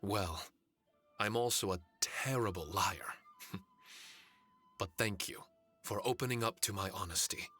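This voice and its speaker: A man's voice: lowish voice, a little slow, pauses often, sharp tone, faint music behind. An actor playing a solemn, humble male spirit warrior in a video game, speaking in a grave, formal way. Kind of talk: English video game voice acting.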